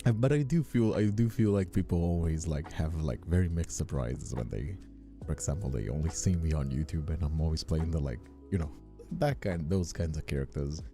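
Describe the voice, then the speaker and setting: A man's voice: rumbling low, brisk, warm tone, soft background music. A man from Brazil hosting a livestream in casual, playful chat, rambling and often repeating himself. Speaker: streamer and voice actor hosting a livestream.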